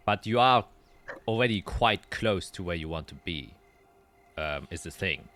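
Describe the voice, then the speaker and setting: Very deep-voiced man running a tabletop game. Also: lively intonation, a little slow, a bit bright, faint music behind. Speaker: game master. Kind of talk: narrating a tabletop roleplaying game.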